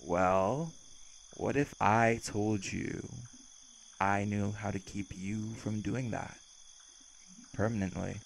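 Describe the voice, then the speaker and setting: Man playing a cocky, gravelly low-voiced vampire charmer. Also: expressive, unhurried, slight background noise, low-quality recording. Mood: cheerful menace.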